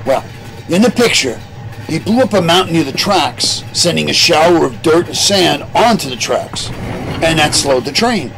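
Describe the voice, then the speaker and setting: A man's voice: fairly high pitch, animated, moderate pace, vocal fry, over music, rough recording. A man playing a loyal, capable investigator sidekick in old-time radio drama, earnest and urgent. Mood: recalling.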